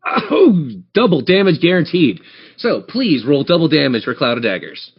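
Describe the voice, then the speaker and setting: A man's voice: on the high side, sing-song, normal speed, breathy edge. Man game-mastering, slightly dull audio. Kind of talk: narrating and refereeing a tabletop game.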